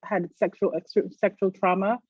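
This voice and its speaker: A woman's voice: mid-range voice, fairly even intonation, normal speed, husky, dry recording. A middle-aged woman from Barbados, a psychologist, reflecting thoughtfully as a guest in an interview. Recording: dry tinny phone-like line.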